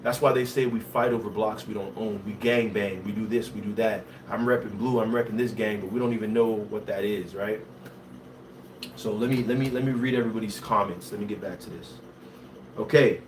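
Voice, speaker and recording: A man from Haiti with a Haitian accent, hosting a casual livestream, talking in an animated way and reading viewer comments. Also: on the low side, fairly quick, recorded from a distance, a little hiss or hum. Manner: switches between English and Haitian Creole, animated and conversational.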